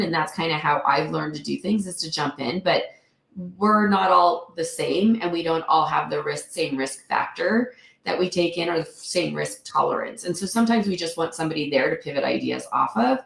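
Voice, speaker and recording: A woman's voice: sits low, normal speed, gravelly, roomy sound. A woman investor in a casual interview, sounding throaty after a cold, talking earnestly with many fillers. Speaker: real estate investor guest.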